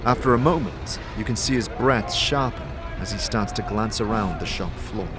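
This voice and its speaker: A man's voice: on the low side, moderate pace, music in the background. A man reading scripted second-person narration as the storyteller of a fantasy audio drama.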